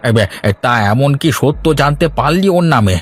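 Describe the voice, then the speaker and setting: A man's voice: medium pitch, lively intonation, moderate pace, lightly acted. A man playing a blustering village busybody. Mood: affronted. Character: grumbling, loyal Bengali village busybody man. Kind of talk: animated folk-tale cartoon dubbing.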